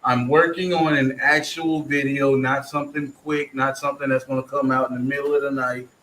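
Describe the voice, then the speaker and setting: A man's voice: medium pitch, flat delivery, brisk, fairly bright tone, a little hiss or hum. A man hosting a casual online group chat, rambling loosely about his plans and swearing freely. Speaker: stream host. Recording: echoey room.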